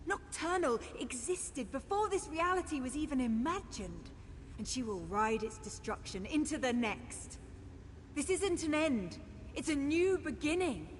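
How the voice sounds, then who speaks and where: An actress playing a menacing, smug female villain who serves a dark goddess in a video game, haughty and threatening. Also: high voice, expressive, a little slow. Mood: smug, triumphant. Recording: hall reverb, clear background noise.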